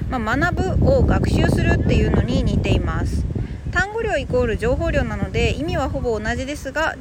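A woman's voice: sits high, heavy background noise. A woman who is a native Japanese speaker, hosting a language lesson in a conversational, explanatory way that speaks straight to listeners. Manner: explanatory, addresses listeners directly. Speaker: host of an English phrase lesson podcast.

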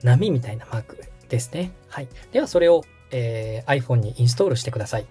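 A man's voice: mid-range voice, animated, fast, warm tone, slightly rough, soft background music. A man hosting a step-by-step tutorial, explaining things politely and guiding the listener along. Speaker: podcast host and tutorial instructor, radio director.

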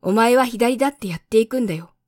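A woman's voice: fairly high pitch, quick pace, darkish tone, no echo, studio quality, natural voice. A woman voicing a matter-of-fact railway switchman in a storybook reading, blunt and plain-spoken, a little world-weary.

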